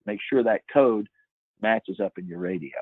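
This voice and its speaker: A man with a fairly low, husky voice speaks as a guest expert in an informal question-and-answer session. He gives advice emphatically. The sound is tinny and narrow, like a phone line. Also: moderate pace, dry recording.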